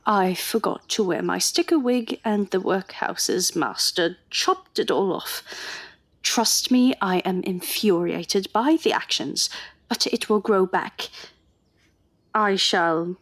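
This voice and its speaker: A woman's voice: mid-range voice, expressive, takes it slowly, dry recording. A woman playing a witty, stubborn Edwardian undercover agent in a radio drama, British accent, with formal, chatty period phrasing. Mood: defiant, exasperated. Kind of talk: radio drama acting and first-person narration.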